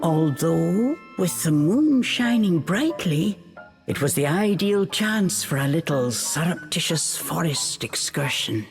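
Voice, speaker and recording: A woman narrating a fairy tale for a video game in an expressive, measured storytelling manner. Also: low voice, creaky, full character voice. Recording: faint music behind the voice.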